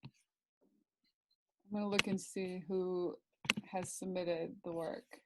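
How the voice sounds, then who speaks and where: A woman instructor leading an online class in a casual, halting way, thinking aloud as she goes. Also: fairly low pitch, level tone. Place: online class video call. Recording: clearly noisy video call audio, clicks.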